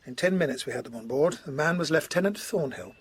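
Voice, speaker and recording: A grave, courteous Georgian colonel played by a man in a period audio drama. His voice is husky and a little high, telling his tale formally, with measured hesitation. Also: animated.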